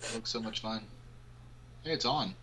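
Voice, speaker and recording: A man's voice: lowish voice, normal speed, bright, forceful tone, breathy edge. A man casually reading a visual novel's narration aloud as an amateur let's-player. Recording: music behind the voice. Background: game music.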